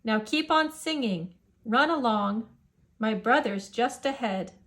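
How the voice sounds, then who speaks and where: Woman playing a huge male storybook giant, high-pitched, slow, jovial, coaxing, rhyming. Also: expressive, a bit bright. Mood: friendly, delighted.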